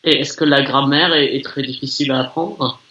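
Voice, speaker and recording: A man from France chatting in a relaxed, talkative way with a language learner over a video call. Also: mid-range voice, brisk, lo-fi sound. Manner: relaxed, chatty, with some restarts. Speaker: conversation partner. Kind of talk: casual conversation.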